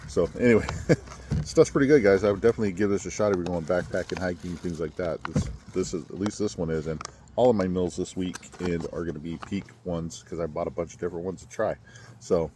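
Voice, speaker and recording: A man's voice: low-pitched, fairly quick, background noise. A man casually vlogging outdoors at a campsite, chatty and laid-back with joking asides.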